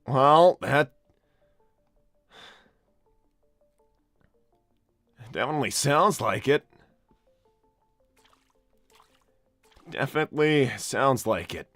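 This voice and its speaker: Man voicing Sonic, a bright, anxious young hedgehog hero. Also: medium pitch, animated, normal speed, no echo, faint music behind. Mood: resigned. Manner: stammering, rambling, repeating himself. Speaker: live improv role-play host. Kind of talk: improvised in-character livestream, reading viewer messages.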